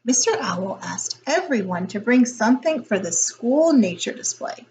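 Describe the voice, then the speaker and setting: A woman reading a picture book aloud as a teacher would, in a deliberate, expressive storytelling style. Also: a little high, a little hiss or hum, rough recording.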